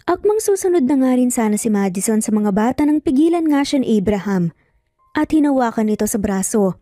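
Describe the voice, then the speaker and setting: A woman's voice: fairly high pitch, lively intonation, fairly quick, mellow, no echo, studio quality. A woman narrating a scripted dramatic story as a storyteller.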